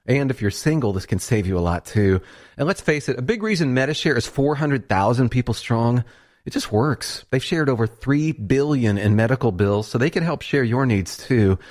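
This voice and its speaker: A man's voice: mid-range voice, darkish tone. A male announcer reading a scripted radio advertisement in a conversational, persuasive style.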